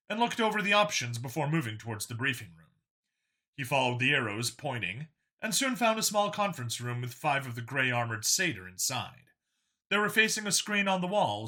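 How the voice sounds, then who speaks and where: A man's voice: medium pitch, sharp tone. A man narrating a scripted science fiction story as an audiobook reader.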